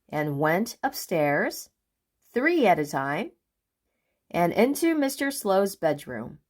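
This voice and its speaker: A woman reading a children's book aloud in an expressive narrating style, with deliberate, dramatic phrasing. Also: medium pitch. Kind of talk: expressive storybook read-aloud.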